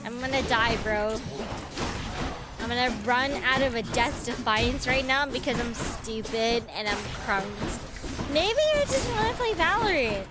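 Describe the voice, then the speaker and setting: A young woman with a Vietnamese American accent, chattering excitably with her chat while streaming a game, full of slang. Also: fairly high pitch, unhurried. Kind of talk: casual gaming stream chatter. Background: game music.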